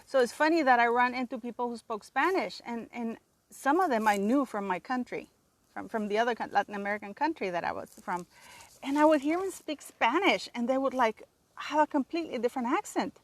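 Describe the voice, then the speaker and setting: A woman's voice: fairly high pitch, a little fast, no echo. A woman with a Canadian accent giving an indignant, rambling solo commentary as host of her own channel. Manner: emphatic, indignant, rambling with restarts.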